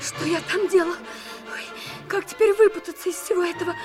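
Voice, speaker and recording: A woman's voice: very high-pitched, fairly even intonation, a little fast. A woman playing an anxious, earnest young French boy in a 1950s radio play. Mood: distressed. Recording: vintage radio drama with music underneath.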